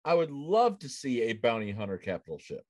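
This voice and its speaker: A man co-hosting a casual gaming podcast, speaking deliberately with pauses between his phrases. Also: mid-range voice, big pitch swings. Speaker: podcast co-host.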